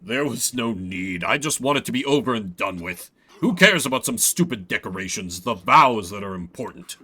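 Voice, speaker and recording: A man voicing a gruff, impatient king in a video game, curt and scornful in manner. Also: fairly low pitch, lively intonation, normal speed, pushed and bright, heavily acted. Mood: irritated. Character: gruff, impatient old-fashioned rival king who hates weddings.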